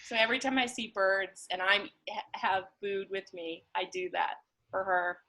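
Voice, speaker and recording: Woman with mid-pitched voice, chatting casually. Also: steady tone, normal speed, sharp tone, low-quality recording. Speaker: conversation partner, guest. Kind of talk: casual, warm chat and anecdote.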